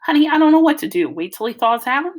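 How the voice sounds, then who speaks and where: Woman putting on a teasing dad, higher-pitched. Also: very animated, quick pace. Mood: exasperated, amused. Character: teasing, wisecracking father of the family.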